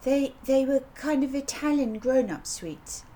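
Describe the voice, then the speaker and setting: A woman's voice: high-pitched, lively intonation, a little slow, mellow, voice pushed up. A woman voicing an earnest little girl in a storybook, explaining things in childish run-on sentences. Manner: childish, breathless run-on telling. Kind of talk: children's audiobook character voice. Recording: audible background noise.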